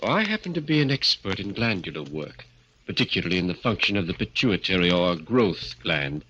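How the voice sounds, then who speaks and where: An actor playing an earnest, persuasive research doctor in a 1940s radio drama, polite and measured. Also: male voice, low-pitched, sing-song, dull audio, noisy background.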